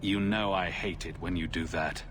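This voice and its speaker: Male adventurer lead voiced by a man, game cutscene: lowish, wry, easygoing, understated, some background noise. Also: fairly even intonation, normal speed, natural voice. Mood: casual. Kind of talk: video game cutscene acting.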